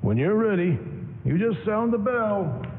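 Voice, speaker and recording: A gruff male voice with a swinging tone a laconic gatekeeper in a 1940s-style radio play. He is clipped and offhand, heard thinly in a reverberant hall. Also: sits high, animated, measured pace. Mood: gruff, knowing. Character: gruff, laconic prison gatekeeper with a soft streak. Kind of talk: live re-enactment of 1940s radio drama. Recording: tinny broadcast, reverberant hall.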